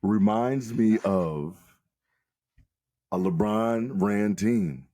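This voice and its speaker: A hoarse man who has nearly lost his voice, co-hosting a casual sports talk show with emphatic, profane opinions. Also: fairly low pitch, very slow, no echo. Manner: animated, emphatic, swears freely. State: losing his voice. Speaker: podcast co-host.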